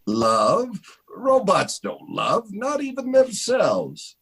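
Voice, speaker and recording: Man acting husky, highly animated factory expert. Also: slow, full character voice. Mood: dismissive, puzzled. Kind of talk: recreated 1930s radio drama.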